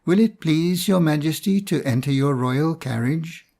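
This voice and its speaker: A grave, deferential old priest of a fairy-tale court, played by a man reading aloud. He talks slowly, with solemn, courtly formality. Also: a little high, vocal fry, mellow.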